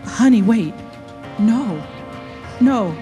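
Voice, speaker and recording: A woman's voice: on the high side, expressive, very slow, warm tone, soft background music. A woman playing an anguished, lamenting wife in an audio drama, with a poetic delivery. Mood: desperate. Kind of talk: audio drama acting, half-sung spoken word.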